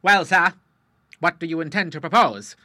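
A man voicing a hot-tempered retired admiral of sixty-three, speaking loudly and with affront. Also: on the high side, normal speed, no echo, clean studio sound, pitched above the performer's own voice. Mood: indignant. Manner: loud, blustering and affronted.

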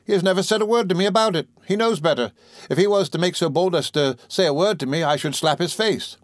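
A blunt, big-hearted servant woman from a storybook, acted out by a man. The voice is pushed up high and full of exclamation. She fusses and protests in homely, colloquial speech, indignant and devoted. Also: normal speed, no echo, studio quality, close to an everyday voice. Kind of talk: audiobook character voice.

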